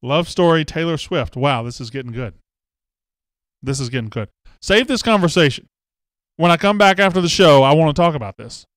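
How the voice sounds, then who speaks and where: A man's voice: on the high side, expressive, fairly quick, dry recording, studio quality. A man hosting a casual live show, chatting and reading viewer comments with self-mocking jokes. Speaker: host. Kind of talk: casual live-stream chat.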